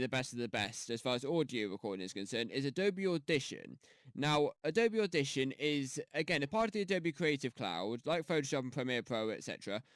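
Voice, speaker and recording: Man, rambling casual tutorial talk, headset mic, lively bouncing pitch, dry close sound. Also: dry recording. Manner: rambling, chatty, many fillers and restarts. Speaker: YouTube tutorial host. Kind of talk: casual screen-recorded tutorial. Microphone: gaming headset mic.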